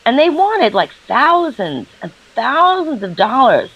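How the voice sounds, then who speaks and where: A woman's voice: sits high, big pitch swings, unhurried, husky, highs cut off. A woman with a New York accent, a guest trader chatting casually on a call, recorded through her laptop's built-in mic.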